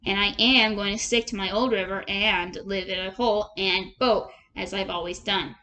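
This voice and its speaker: A woman's voice: medium pitch, fairly even intonation, normal speed, pushed and bright, voice pushed down, natural voice, poor audio. A homebody water rat from a storybook, played by a woman. He is stubborn and patient, speaking firmly with a grumble. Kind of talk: storybook character voice.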